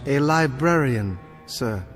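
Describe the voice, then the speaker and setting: An actor in a radio drama playing a mild, bookish librarian, polite and earnest, with a stubborn, principled streak. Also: male voice, medium pitch, animated, takes it slowly, music in the background. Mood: meek, puzzled.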